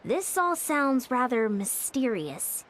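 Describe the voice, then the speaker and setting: A woman voicing a measured, articulate and wary young female strategist in a video game. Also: high voice, very animated, a bit bright, a little hiss or hum, exaggerated voice. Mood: wary, thoughtful.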